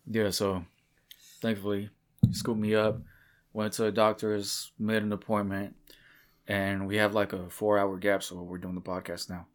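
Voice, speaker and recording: A man's voice: fairly low pitch, flat delivery, normal speed. A man co-hosting a casual podcast in a home game room, speaking bluntly with swearing while worn down by toothache and lack of sleep. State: aching tooth, short on sleep. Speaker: podcast co-host. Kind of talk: casual chat.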